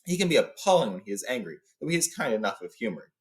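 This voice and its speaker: A man's voice: medium pitch, very animated, fast talker, close to an everyday voice. A man voicing a courteous, authoritative old wizard in a storybook, speaking formally and with emphasis. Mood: cautioning. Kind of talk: storybook read-aloud character.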